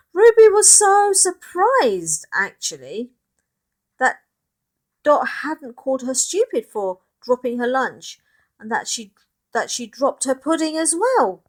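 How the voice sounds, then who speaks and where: A woman reading a children's picture book aloud in expressive narration, with asides to young listeners about the pictures. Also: a little high. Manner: addresses young listeners, points out pictures, asks questions.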